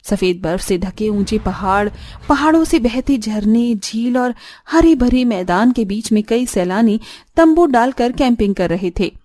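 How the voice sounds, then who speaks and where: High, mellow woman storyteller. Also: animated, measured pace, dry recording. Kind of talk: scripted cartoon story narration.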